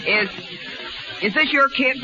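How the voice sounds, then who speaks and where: An actress playing a sharp-tongued carnival dancer in a 1930s radio drama, sour and curt. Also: female voice, high voice, expressive, a little slow. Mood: sour, suspicious. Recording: muffled 1930s radio broadcast, music.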